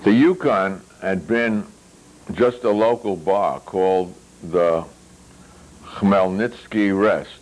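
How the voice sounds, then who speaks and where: Very low-voiced man reading fiction. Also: slow, darkish tone, low-quality recording. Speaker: author reading their own novel. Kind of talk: literary reading with spoken asides.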